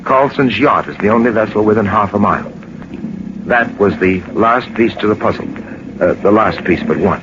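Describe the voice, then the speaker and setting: An old, noisy radio recording features an actor as a gentleman detective. He is low-pitched, assured and commanding, laying things out with authority in 1940s radio drama style. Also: moderate pace, muffled recording, close to an everyday voice. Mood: calm, explanatory.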